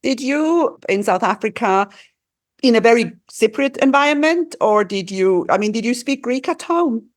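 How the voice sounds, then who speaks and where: A woman's voice: a little high, expressive, normal speed, no room sound, clean studio sound. A woman hosting an interview podcast, asking questions in an enthusiastic, effusive way. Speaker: podcast host.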